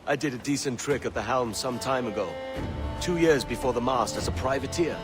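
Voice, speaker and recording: A man plays a roguish old-hand privateer in a video game, wry and understated. The voice is medium-pitched, with clear music behind it. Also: brisk, natural voice. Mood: wry, guarded. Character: seasoned, laconic seafaring former privateer man, roguish. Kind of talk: period video game acting. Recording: music over the voice.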